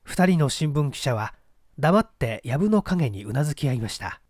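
A man reading a literary mystery story in a theatrical, measured, dramatic narrator's style. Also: a little high, very animated, darkish tone, no room sound, clean studio sound. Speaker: audiobook reader.

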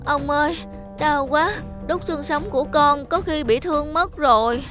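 A woman's voice: high voice, moderate pace, lightly acted. A woman voicing a timid, crafty young male servant in a cartoon, deferential and pleading. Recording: studio voice with loud music.

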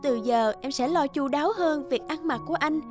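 A woman playing a coy, long-suffering young wife in a cartoon drama, speaking softly. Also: high-pitched, fairly quick, over music, close to an everyday voice. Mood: coy, affectionate.

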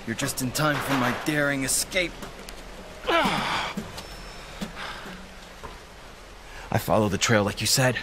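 An actor playing an earnest, determined young superhero sidekick in a video game, speaking in a terse, urgent, practical way. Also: male voice, mid-range voice, very animated, moderate pace, lightly acted. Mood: tense, puzzled. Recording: clear background noise.

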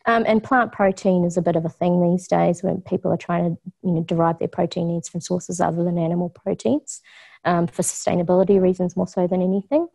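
A woman's voice: on the low side, fairly even intonation, a little fast, gravelly, warm tone, low-quality recording. A middle-aged woman with a New Zealand accent, giving a casual community talk on food science with lots of ums, standing in an office kitchen. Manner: chatty, frequent ums, laughs easily.

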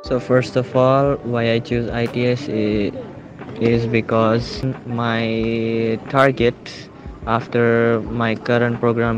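A man, a master's student, answering in halting English with many restarts. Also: mid-range voice. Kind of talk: interview answer. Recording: dull audio with street noise.

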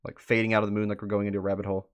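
A man's voice: lowish voice, little pitch movement, racing, clean tone, dry recording. A young man hosting a livestream, giving casual live reaction commentary and bantering with his chat. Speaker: livestream host. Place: indoor room at a desk, livestream. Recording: music behind the voice.

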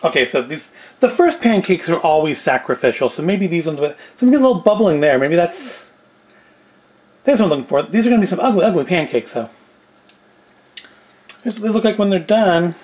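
A man's voice: high voice, sing-song, fairly quick, long pauses, a little mellow. A middle-aged man giving casual cooking and food review commentary with dry, self-deprecating humour. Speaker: video host. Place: indoors at home, kitchen and tabletop set.

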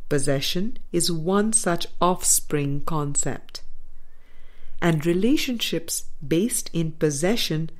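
Slow, low-pitched woman reading an audiobook. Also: animated. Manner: measured, deliberate phrasing. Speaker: audiobook reader. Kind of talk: scripted audiobook narration of a spiritual text.

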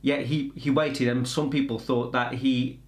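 A middle-aged man giving a casual film trailer review in halting, rambling explanations. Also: medium pitch, level tone. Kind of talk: casual reaction chat. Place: indoor room with bookshelves. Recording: echoey indoor room.

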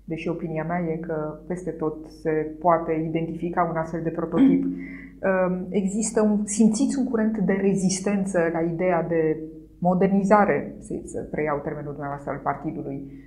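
A woman in her thirties asks a politician polite but probing questions in a formal register. Her voice sits a bit low. She speaks in a quiet studio. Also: fairly even intonation, low-quality recording. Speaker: interviewer. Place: newsroom studio, indoors.

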